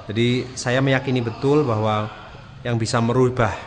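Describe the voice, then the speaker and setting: A man speaking as a parliamentarian, earnest and measured, with halting emphasis in a semi-formal explanation. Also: medium pitch, fairly even intonation. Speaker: member of parliament. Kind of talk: political commentary, semi-formal answer.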